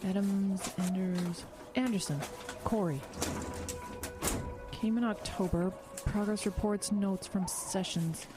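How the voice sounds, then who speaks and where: A woman's voice: medium pitch, takes it slowly, lightly acted. An actress playing an anxious, skeptical investigator in a radio drama, urgent and defiant in her delivery. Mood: puzzled, muttering. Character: anxious, skeptical woman investigator poring over files. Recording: loud music bed under speech.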